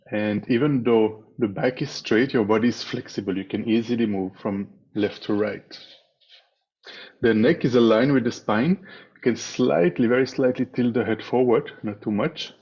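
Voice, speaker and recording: A man leading a guided meditation as a teacher, speaking in a calm, measured way with long pauses between phrases. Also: mid-range voice, husky. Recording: dull, muffled online meeting audio.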